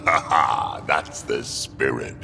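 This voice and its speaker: An actor playing a big, gruff, battle-hardened alien warrior in a video game, with a gravelly voice. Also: male voice, very deep voice, animated, slow, long reverb tail, music in the background, exaggerated voice. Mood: amused. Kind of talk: video game cinematic acting.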